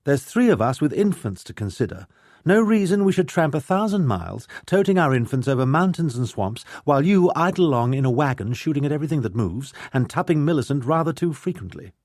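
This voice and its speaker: A man narrating a story, putting on the voice of a forceful, sharp-tongued young noblewoman who lectures crisply. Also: on the high side, very animated, brisk, no room sound, studio quality, voice pushed up.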